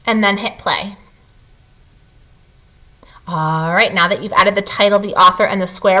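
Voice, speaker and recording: A woman teacher reading to children and guiding them through a lesson, expressive and speaking to them directly. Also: medium pitch, rough recording. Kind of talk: children's read-aloud and lesson.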